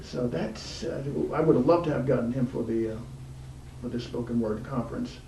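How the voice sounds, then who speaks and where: A man hosting an informal interview show, speaking haltingly with frequent pauses mid-sentence. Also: medium pitch, mellow. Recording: roomy, distant mic, faint background noise.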